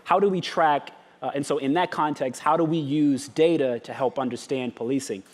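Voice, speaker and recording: Mężczyzna na panelu, pogłos dużej sali. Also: male voice, on the high side, normal speed, a little mellow. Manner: earnest, thoughtful, many restarts and fillers. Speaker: nonprofit founder, panelist. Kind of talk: live panel discussion. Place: conference hall. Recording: long hall reverb.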